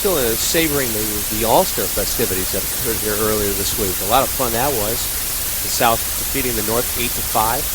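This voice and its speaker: A man's voice: medium pitch, a little fast. A man giving live radio baseball commentary in a wordy delivery full of statistics and detail. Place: ballpark broadcast position. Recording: hissy, noisy radio broadcast recording.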